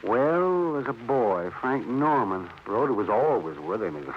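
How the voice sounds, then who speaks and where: A man's voice: medium pitch, sing-song, a little slow. An American actor playing a fussy, chatty, nervously affable male dentist in an old radio crime drama, hesitant and over-polite. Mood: hesitant, confiding. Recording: dull, noisy vintage radio recording.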